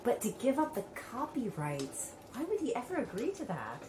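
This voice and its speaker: A woman's voice: fairly high pitch, huge pitch swings, moderate pace, darkish tone. An actress playing an inquisitive female documentary interviewer, probing casually in a naturalistic audio drama. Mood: curious.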